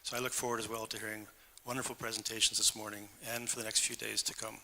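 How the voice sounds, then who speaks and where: A man giving brief, formal closing remarks at a forum in a brisk manner. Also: lowish voice, flat delivery, vocal fry, pushed and bright, slight background noise, rough recording. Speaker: forum speaker.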